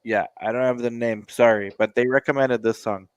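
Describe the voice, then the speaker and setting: A man's voice: medium pitch, fairly even intonation, brisk, clear, resonant tone, no room sound. A man, co-hosting a casual music reaction show, joking and sarcastic as he rambles. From United States.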